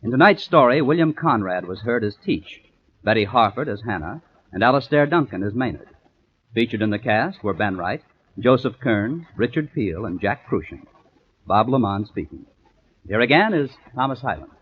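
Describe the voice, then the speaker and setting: A man's voice: medium pitch, lively intonation, normal speed. Tinny vintage American radio announcer, male. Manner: polished, formal. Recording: tinny, noisy old radio recording.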